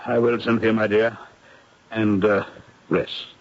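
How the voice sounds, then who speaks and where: An actor playing a fussy, solicitous older titled gentleman and husband, soothing and fussing in a radio drama. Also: lowish voice, little pitch movement, slow. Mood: soothing, worried. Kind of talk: 1970s radio drama acting. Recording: muffled, very noisy radio broadcast.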